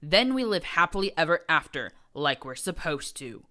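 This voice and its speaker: A woman's voice: deep voice, sing-song, moderate pace, bright, forceful tone, full character voice. A woman voicing a pompous, deranged undead male pony prince who hisses with false gentility and breaks into screams. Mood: shrieking rage. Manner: hissing, falsely genteel, erupting into screams.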